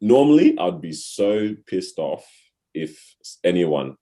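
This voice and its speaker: A man's voice: fairly low pitch, wildly swooping pitch, takes it slowly. A young man with an Australian accent, telling a story in casual podcast chat, full of slang. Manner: slangy, laughs loudly, storytelling. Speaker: podcast co-host.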